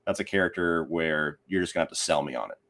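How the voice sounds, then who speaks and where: A man speaking as a podcast guest, giving his opinions on films in a casual, conversational and measured way. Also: low voice, a bit bright.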